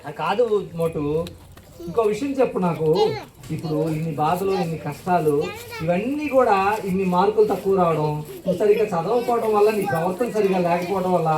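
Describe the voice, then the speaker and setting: A man hosting a live children's puppet show, exclaiming, questioning and echoing replies back. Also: high voice. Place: indoor church hall. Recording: echoey hall, distant from microphone.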